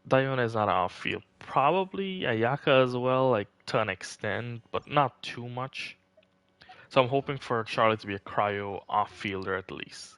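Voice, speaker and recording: Bright-voiced man streaming a game. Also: medium pitch, expressive, a little slow, smooth, no room sound. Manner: rambling, thinking aloud. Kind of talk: casual live stream commentary.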